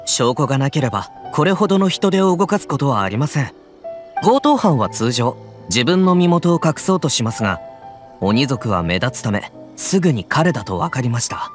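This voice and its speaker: An actor playing a stern, businesslike official in a video game, speaking formally and with indignation. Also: male voice, medium pitch, sing-song, moderate pace, faint music behind, natural voice. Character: stern official hunting a fugitive.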